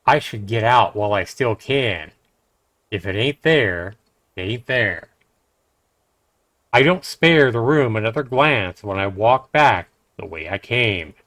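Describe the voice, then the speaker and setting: A man's voice: lowish voice, animated, slow pace, fairly bright tone, no room sound. A man streaming a game, reading a visual novel aloud and adding casual commentary.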